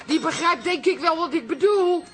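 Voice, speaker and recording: Chatty, theatrical pub regular woman, high-voiced. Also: normal speed, faint music behind. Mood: eager, angling. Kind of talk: radio comedy drama acting.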